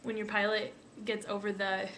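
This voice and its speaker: A woman's voice: mid-range voice, little pitch movement, normal speed, a bit bright, a little hiss or hum. A young American woman chatting informally in a casual vlog, rambling and peppering her talk with filler words like "like" and "you know". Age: young adult. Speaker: vlogger, aviation student.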